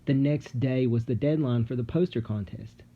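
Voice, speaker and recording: A man reading a children's story aloud dramatically, with suspenseful, theatrical delivery and deliberate pauses. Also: mid-range voice, husky, highs cut off. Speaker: storybook narrator. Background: music.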